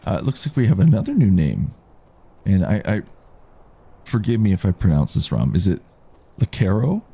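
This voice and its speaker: A man with a baritone voice giving calm, unhurried commentary while he plays a game and reads chat on a live stream. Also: creaky, slightly rough. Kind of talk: relaxed live gameplay commentary.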